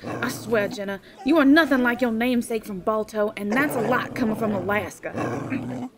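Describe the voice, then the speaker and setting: A woman playing a bossy but caring young friend, brisk and wry, in an audio drama. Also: fairly high pitch, over a noisy crowd, close to an everyday voice. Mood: exasperated.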